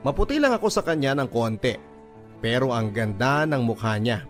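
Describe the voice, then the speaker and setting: A man's voice: mid-range voice, very animated, normal speed, faint music behind. A man reading a scripted first-person love story as narration for a radio drama.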